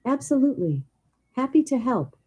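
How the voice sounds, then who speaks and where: A synthetic woman's voice of a smart speaker assistant, reading a definition in a smooth, even delivery, heard from a speaker away from the mic. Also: high-pitched, moderate pace.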